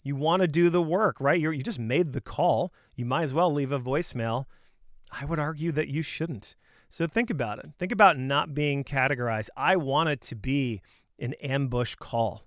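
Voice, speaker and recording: A man with a fairly high, very clean and smooth voice gives informal sales coaching. He is emphatic and conversational, driving his opinions home with punchy rhetorical questions. The sound is close and dry. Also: moderate pace, dry recording. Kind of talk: informal opinionated sales advice talk to camera.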